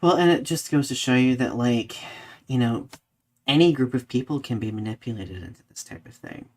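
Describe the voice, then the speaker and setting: A man with a mid-pitched voice hosts a live discussion podcast. He talks casually and conversationally, sprinkling in "like" and tagging points with "right?" as he goes. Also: fairly quick. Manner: conversational, peppers talk with "like" and "right?". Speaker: podcast host.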